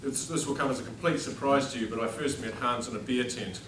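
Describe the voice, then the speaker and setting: A man's voice: medium pitch, fast talker. New Zealand man speaking across an echoey hall. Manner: self-deprecating, dry jokes, speaks without a microphone to a room. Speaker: headmaster giving an after-dinner speech. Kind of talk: humorous after-dinner speech. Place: large dining hall at a formal dinner. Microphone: no microphone, recorded from across the room. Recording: distant echoey hall with background noise.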